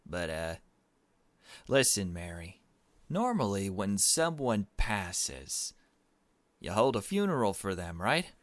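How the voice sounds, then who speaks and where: A man voicing an evasive father in a visual novel, speaking gently and hesitantly to his young daughter. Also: fairly low pitch, very animated, slow, pauses often, dry recording.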